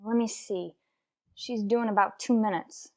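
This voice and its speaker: Woman playing a deadpan, no-nonsense female police detective. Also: medium pitch, normal speed, natural voice. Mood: hushed, watchful. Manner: clipped, matter-of-fact, deadpan. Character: terse, dutiful female police detective narrating her case. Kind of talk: old-time radio crime drama narration and dialogue.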